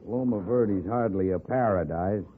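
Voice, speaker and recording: An actor playing a wary, uneasy stagecoach driver in a radio western. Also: male voice, on the low side, unhurried, natural voice. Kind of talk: 1950s radio western acting. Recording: narrow-band old radio, faint hiss.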